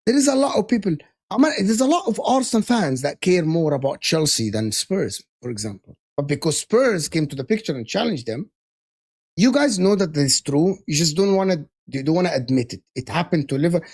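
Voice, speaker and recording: A man's voice: on the high side, animated, fairly quick, a little mellow, no echo. A man co-hosting a heated casual football debate, talking emphatically and insistently and repeating his points. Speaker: football podcast co-host.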